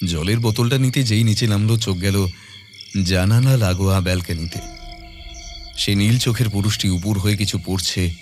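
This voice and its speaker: A man's voice: on the low side, normal speed, over music. A man narrating a scripted ghost story in a dramatic audio-story style.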